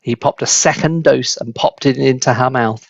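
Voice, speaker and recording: A man reading a children's story aloud as a dramatic, deliberate, expressive narrator. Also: medium pitch, creaky, breathy edge, dry recording, muffled recording. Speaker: storybook reader.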